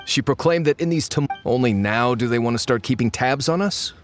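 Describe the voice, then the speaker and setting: A man's voice: medium pitch, fairly quick, faint music behind, lightly acted. An actor playing a grumbling, gossipy townsman in a video game, talking conversationally. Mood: wry, informing. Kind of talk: video game dialogue acting.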